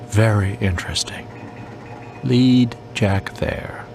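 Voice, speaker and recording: An actor playing a cold, calculating boss in a video game, measured and commanding. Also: male voice, fairly even intonation, a little mellow. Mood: cool, calculating. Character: cold, calculating male boss in control room. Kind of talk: video game cutscene acting. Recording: rumbling noise behind the voice.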